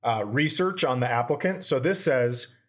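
A man's voice: medium pitch, animated, normal speed. A comedian hosting a podcast in easy, casual talk. He reads items out and then riffs on them bluntly, with crude jokes and an everyday voice.

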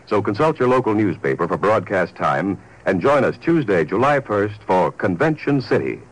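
Casting: male American network announcer, lowish voice, very animated intonation, vintage radio promo read. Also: low-quality recording.

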